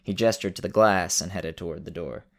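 Low, creaky male storyteller. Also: brisk. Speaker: storyteller, show host. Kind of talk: narration and casual host talk.

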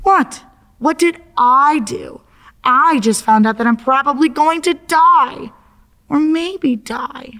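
A woman's voice: high-pitched, takes it slowly, sharp tone, exaggerated voice. A woman playing a confused, exasperated accident victim in an audio drama, with sarcastic, dramatic, slangy delivery. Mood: apologetic, flustered. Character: confused, exasperated accident victim stuck in afterlife waiting room.

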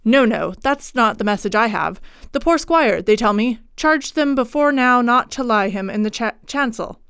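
A woman voicing a male country clergyman in a storybook reading, formal, brisk and authoritative. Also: fairly high pitch, lively intonation, a bit bright, smooth, no echo, clean studio sound. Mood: grave, businesslike.

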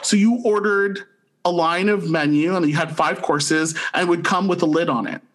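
A man's voice: high voice, fairly even intonation, moderate pace, fairly bright tone. A man giving a casual, enthusiastic review as a podcast co-host, speaking in an effusive, emphatic way.

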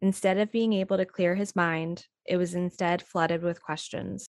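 A woman with a slightly low voice reads podcast narration from a script. She is composed and articulate, and the sound is dry and close, free of room echo. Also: steady tone, normal speed. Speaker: podcast co-host.

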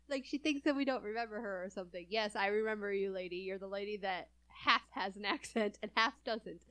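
A woman's voice: medium pitch, moderate pace, long pauses, sharp tone. A young adult woman from California chatting casually as a co-host, laughing often between phrases. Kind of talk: casual chat.

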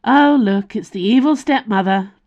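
A woman voicing a sardonic young woman, a tavern keeper's daughter, with a brisk, mocking delivery. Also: medium pitch, sing-song, highs cut off, lightly acted. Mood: wry.

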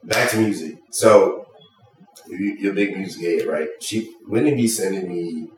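A man's voice: low voice, a little slow, a little mellow. A man with a Georgia accent hosting a podcast, teasing his guest in argumentative casual banter. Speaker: podcast host. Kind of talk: casual chat. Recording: echoey room, distant from mic.

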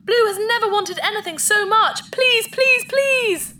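A woman's voice: extremely high pitch, measured pace, sharp tone, slight background noise, heavily acted. An actress playing an eager, easily hurt female spaceship computer in a sci-fi audio drama. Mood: eager, pleading. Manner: speaks of herself in the third person.

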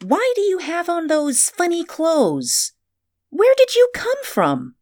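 A very animated, high-voiced child, blunt and suspicious. The words are drawn out slowly in a sneering, demanding way, as in an audiobook. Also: female voice, heavily acted.

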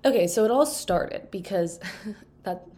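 A young woman hosts her own casual podcast, her voice thick and stuffed up from allergies. She rambles in a chatty, easy way, full of "like" and "um", at a pitch neither high nor low, into a microphone set on a table. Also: expressive, unhurried, mellow. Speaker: podcast host. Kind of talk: casual solo podcast chat. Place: indoors at a table. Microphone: microphone propped on a table.

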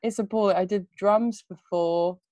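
A woman's voice: mid-range voice, steady tone, moderate pace, warm tone, no echo. A young woman chatting casually on a podcast, bubbly and self-mocking, often laughing at herself.

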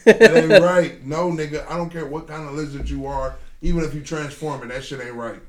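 A man co-hosting a podcast in casual group banter, animated and profane, repeating slangy phrases for emphasis. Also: fairly high pitch, quick pace. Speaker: podcast co-host. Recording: roomy echo with voices around.

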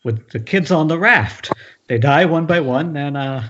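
A man's voice: on the high side, expressive, measured pace, fairly bright tone. A man playing a manic, foul-mouthed horror writer in an amateur screenplay table read. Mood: manic, scattered. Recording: dull, noisy internet call audio.

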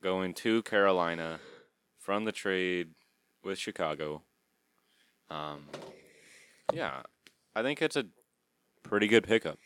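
Smooth, low-voiced laid-back man. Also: animated, slow, long pauses, fairly bright tone. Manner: laid-back, dry teasing, short replies. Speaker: podcast co-host. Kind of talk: casual sports chat.

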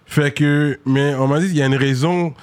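A man's voice: on the high side, steady tone, moderate pace, darkish tone. A man hosting a podcast interview, asking casual questions and answering with short encouraging replies. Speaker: podcast host.

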